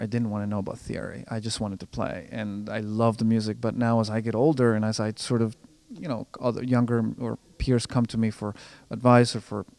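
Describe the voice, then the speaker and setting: A young man from Colombia, whose first language is Spanish, answers interview questions in a low, gravelly voice. His pitch barely moves as he goes, and he stumbles through restarts and fillers while he thinks aloud. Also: warm tone, no echo. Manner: hesitant, many restarts and fillers. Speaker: composer and pianist.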